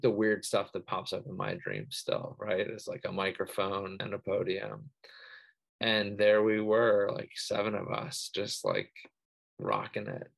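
A man's voice: lowish voice, gravelly, rough recording. A man who stutters, speaking casually on a panel and reflecting, with repeated sounds and frequent fillers like "like" and "um". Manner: person who stutters, with part-word repeats and many fillers.

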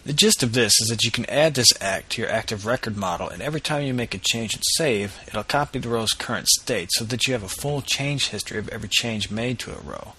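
Male podcast host, casual and upbeat, with a bright, slightly husky voice and a lowish pitch. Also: brisk, hardly any pauses, low-quality recording. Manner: casual and upbeat, with easy laughs. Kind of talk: news roundup and interview.

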